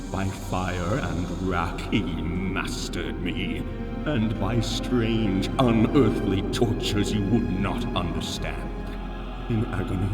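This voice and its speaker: An actor playing an ancient, enslaved alien god in a fantasy audio drama, solemn and sorrowful, with grandiose archaic phrasing. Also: male voice, on the low side. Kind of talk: full-cast fantasy audio drama monologue. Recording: cavernous echoing reverb, distant, music underneath. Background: music.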